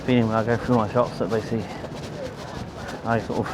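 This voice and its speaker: A man with a London accent giving casual, rambling, low-key commentary as he films a walk outdoors. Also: little pitch movement, fast talker. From London, England. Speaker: amateur photographer, vlogger. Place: outdoors on a riverside walkway. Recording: outdoor city noise behind voice.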